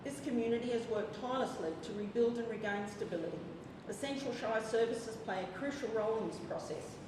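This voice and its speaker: A woman's voice: a little high, brisk. Nervous woman in an echoey chamber. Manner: formal, earnest, nervous. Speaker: member of the public. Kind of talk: reading a prepared public statement. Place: council chamber. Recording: echoey hall from far off, noisy.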